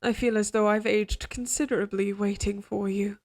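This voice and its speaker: A woman playing a regal, possessive queen in an audio roleplay drama, speaking in formal, measured courtly phrases, tender yet controlling. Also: medium pitch, flat delivery, a little mellow, natural voice. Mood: tender, reassuring.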